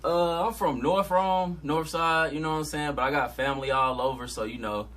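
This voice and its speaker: A man's voice: on the high side, moderate pace, fairly bright tone. A young man from Georgia, a rapper talking casually and laid-back in a podcast interview. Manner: laid-back, talks in slang. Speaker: guest rapper.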